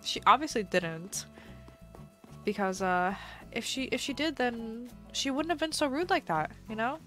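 A woman's voice: on the high side, lively intonation, unhurried, sharp tone. Giggly, slightly stuffy woman streamer. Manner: chatty and giggly, reacting to chat. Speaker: live streamer. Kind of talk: casual live-stream chat while playing a game. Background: faint background music.

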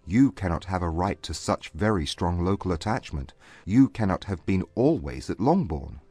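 An actor playing a proud, reserved gentleman in a dramatized audiobook, speaking formally and with measure. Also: deep voice, expressive, moderate pace, breathy edge, no echo, faint music behind. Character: Mister Darcy, proud reserved English gentleman.

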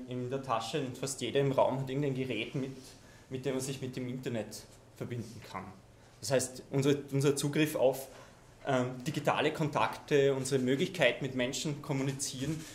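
Man in an echoey hall. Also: mid-range voice. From Austria. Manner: loose, conversational, many ums and restarts. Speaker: keynote speaker. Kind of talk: conference keynote talk. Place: lecture hall with projection screen. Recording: echoey lecture hall, faint noise.

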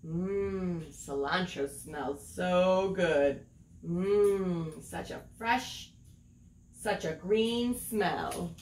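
A middle-aged woman reading a children's picture book aloud in an expressive storytelling manner, drawing out words. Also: on the low side, drawn-out words, slight background noise. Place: small room at home, indoors. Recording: roomy home recording.

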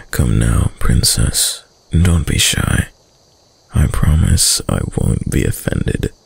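A man performs a possessive, seductive prince of dark reputation for a roleplay audio drama. The voice is extremely deep and thick with gravel, breathy and husky. He speaks slowly and suavely, drawing out his words with hushed, dominant control. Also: expressive, exaggerated voice. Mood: smooth, coaxing. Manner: slow, hushed, suave, deliberate. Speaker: voice actor.

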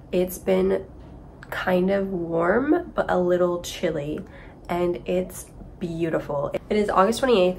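A young woman in her twenties sounding a little stuffed up from a cold, chatting to her camera in a small apartment. She talks slowly and rambles on, her voice sitting slightly low. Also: gravelly, slight background noise. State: congested from a cold. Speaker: vlogger. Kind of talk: casual chat to camera. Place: small apartment room with kitchen, indoors.

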